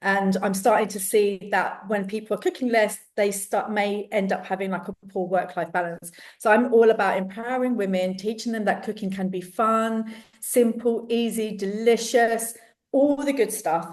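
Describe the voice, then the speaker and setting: A woman from London with a strong London accent, a cookery teacher chatting as a podcast guest, enthusiastic and quick to laugh, with many restarts. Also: mid-range voice, fairly even intonation, a little mellow, far from the mic. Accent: London English. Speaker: guest, cookery teacher and self-love coach. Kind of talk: casual podcast interview.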